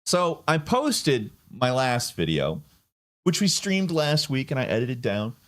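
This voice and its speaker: A man's voice: mid-range voice, very animated, slow. A man giving casual political commentary, sardonic and rambling. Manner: sardonic, rambling with fillers and restarts.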